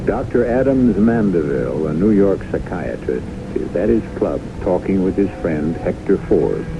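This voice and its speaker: A man hosting and narrating a radio drama in a measured, theatrical style. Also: deep voice, expressive, over loud music. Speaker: host and narrator. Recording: muffled, noisy old radio broadcast.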